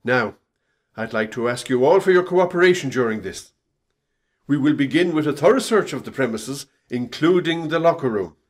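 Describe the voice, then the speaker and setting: Radio play boss male actor: authoritative, suspicious, clipped formal phrasing, very animated pitch. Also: moderate pace, close to an everyday voice. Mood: brisk, firm. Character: stern, suspicious company boss investigating staff theft.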